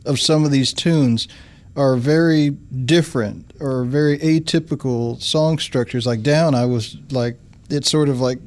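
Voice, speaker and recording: A man's voice: mid-range voice, fairly even intonation, measured pace, darkish tone. Guy hosting radio interview, mid voice, curious long questions, studio. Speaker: radio show host. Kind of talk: interview conversation.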